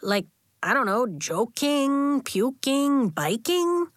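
A woman's voice: sits high, very animated, slow, no room sound, studio quality, voice pushed up, full character voice. A woman voicing a playful, easygoing young schoolboy in a children's audiobook.

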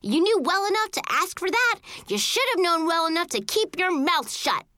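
A hot-tempered big sister of school age, voiced by a woman in a children's story. She yells her accusations in a high, bright voice that swings widely. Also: very animated, unhurried, no echo, heavily acted. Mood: accusing. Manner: yelling, accusing.

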